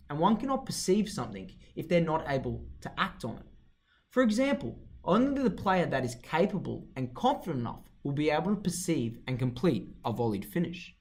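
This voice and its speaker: A man narrating a scripted educational video in a formal, explanatory manner. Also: a little high.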